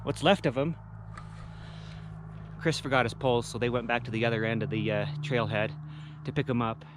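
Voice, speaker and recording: A man casually narrating an outdoor vlog in a halting, easygoing way. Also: mid-range voice, fairly even intonation, noisy background. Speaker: vlog host. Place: outdoors in mountain country.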